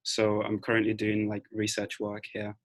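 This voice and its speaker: Low, flat young man. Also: normal speed, dry recording. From Nigeria. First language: Igbo. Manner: relaxed, with frequent "um"s. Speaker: presenter, research scientist. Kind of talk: casual presentation. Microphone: video call.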